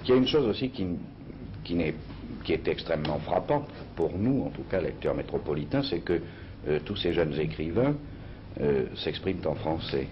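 A man with a very deep voice, interviewing on the radio with calm, measured questions. The recording is muffled and lo-fi, with a soft hiss in the background. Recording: dull old radio archive with faint hiss.

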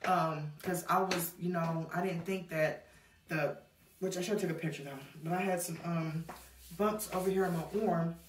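A woman's voice: lowish voice, fairly even intonation, moderate pace, distant mic, a little hiss or hum. Woman, 30s, home vlogger, talks to camera like a friend; camera set back in a bare, echoey room. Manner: chatty, addresses viewers as y'all. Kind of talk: casual chat to camera. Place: small indoor hallway, later a child's bedroom. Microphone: camera on a stand a few feet away.

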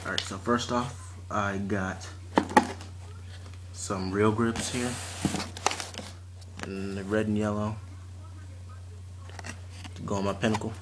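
A young man in his late teens chatting casually and haltingly as he presents items to a camera at home. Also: lowish voice, level tone. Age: teens to young adult. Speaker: vlogger showing fishing tackle. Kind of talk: casual unscripted unboxing chat. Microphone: camera or phone mic at arm's length. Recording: noisy amateur recording with clicks and rustling.

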